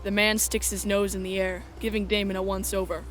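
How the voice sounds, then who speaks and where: Woman, story narrator, mid-pitched voice, scripted first-person narration, background music. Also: little pitch movement, a little fast, a bit bright.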